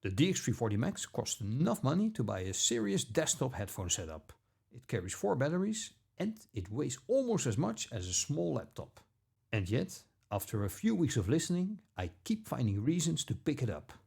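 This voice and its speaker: A man narrating a scripted product review as an audio gear reviewer, with measured, deliberate phrasing. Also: medium pitch, sing-song, creaky, a little mellow, breathy edge.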